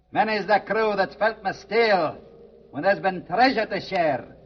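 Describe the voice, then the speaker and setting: An actor voicing the gloating, menacing ghost of an old Scottish pirate captain in a theatrical vintage radio drama style. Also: male voice, high-pitched, slow, slight background noise. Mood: sly, menacing. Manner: theatrical, archaic seafaring speech. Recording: dull vintage radio recording with music behind.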